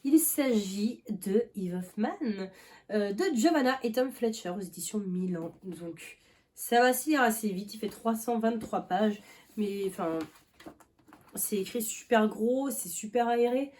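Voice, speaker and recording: Chatty young woman with a mellow voice. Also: medium pitch, unhurried. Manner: chatty, rambling, many fillers and restarts. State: coughing now and then. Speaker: book vlogger. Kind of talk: casual chat.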